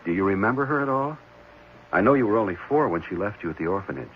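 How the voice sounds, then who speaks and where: An actor playing a gentle, earnest married foster father in a 1970s radio drama, speaking hesitantly and reassuringly. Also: on the low side, lively intonation, fast, natural voice. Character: gentle, caring married man fostering an orphan boy. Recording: muffled, noisy old radio broadcast.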